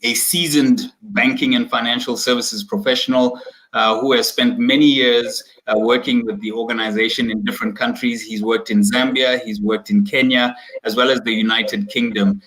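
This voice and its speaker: An upbeat man hosts an online executive interview over a video call. His pitch is middling and he talks in a chatty way, full of fillers and restarts. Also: fairly even intonation, normal speed, a bit bright, poor audio. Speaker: host.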